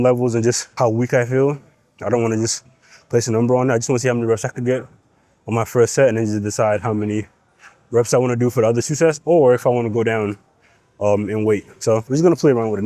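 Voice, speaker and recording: A man's voice: medium pitch, warm tone, dry recording. A young man with a cold and a nasal voice, talking bluntly to the camera between sets in a gym. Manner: blunt, profane, pep-talking. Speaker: fitness vlogger. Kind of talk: casual gym vlog talk.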